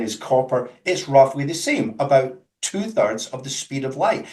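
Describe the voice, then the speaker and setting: A man's voice: a little high, echoey room. A man from Scotland with a Scottish accent, explaining things in a conversational webinar with emphatic, jokey asides over a video call. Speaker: webinar guest speaker. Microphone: webcam with mic on a video call.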